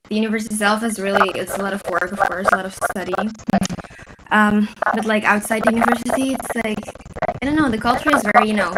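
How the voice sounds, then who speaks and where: Young woman in her twenties chatting easy, lots of ums and likes, loud hiss behind her. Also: medium pitch. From Netherlands. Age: 20s. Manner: relaxed, chatty, laughs often, many fillers. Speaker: interviewee, university student. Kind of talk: casual interview over a call.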